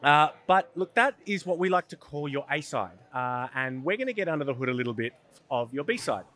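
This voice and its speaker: A man's voice: fairly high pitch, expressive, brisk, fairly bright tone. A man hosting an interview, asking questions in an upbeat, conversational way with frequent "um"s. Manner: upbeat, many listener "hmm"s and "um"s. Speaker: podcast host, interviewer.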